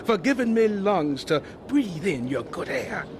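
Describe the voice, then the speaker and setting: High-voiced, eccentric kindly old gentleman. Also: sing-song, unhurried, heavily acted. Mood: delighted, flustered. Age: older. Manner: hesitant, repeating words, rapturous about nature. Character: kindly, eccentric, absent-minded elderly gentleman scientist. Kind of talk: radio drama acting. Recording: noisy old radio drama recording.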